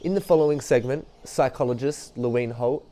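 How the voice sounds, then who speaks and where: A young man reading scripted documentary narration in an earnest, measured way. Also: mid-range voice, dark tone, slight background noise.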